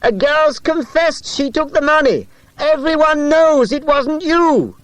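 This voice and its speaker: A man voicing a bold, excitable schoolboy sleuth in a children's audiobook, eager and exclamatory. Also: very high voice, slow, no echo, voice pushed up, low-quality recording. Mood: excited, shouting.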